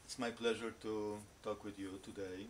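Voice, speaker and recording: Priest interviewing gently, lowish voice. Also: male voice, flat delivery, moderate pace, a little hiss or hum. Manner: prompting, frequent soft acknowledgements. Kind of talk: oral history interview.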